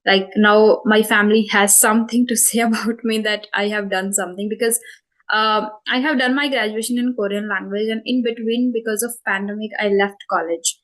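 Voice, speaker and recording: A woman's voice: mid-range voice, fairly even intonation, normal speed, fairly bright tone, low-quality recording. A woman in her twenties with an Indian English accent, answering interview questions about her channel in a thoughtful way, with many fillers and self-corrections. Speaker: spoken English channel host.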